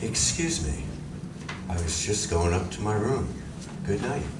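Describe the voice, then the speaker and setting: Man acting a deep-voiced, grave alien visitor. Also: expressive. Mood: polite, gentle. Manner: measured, formal, deliberate. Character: calm, grave alien visitor, male, politely threatening. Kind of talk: live radio drama acting. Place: library community room, live performance. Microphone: audience member's distant recorder. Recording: echoey room from far off, noisy.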